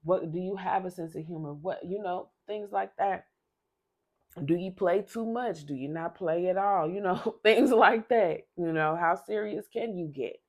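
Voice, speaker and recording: Low-voiced woman reading tarot. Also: unhurried, darkish tone. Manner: chatty, rambling, repeats and restarts. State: sick, losing her voice. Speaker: tarot reader. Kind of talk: casual tarot reading to camera.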